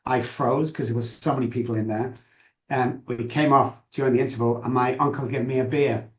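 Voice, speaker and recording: A man's voice: medium pitch, echoey room, low-quality recording. A middle-aged man with a Manchester accent, a guest telling his story in a chatty, self-assured way. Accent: Mancunian. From England. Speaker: guest, addiction doctor. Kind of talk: candid interview storytelling.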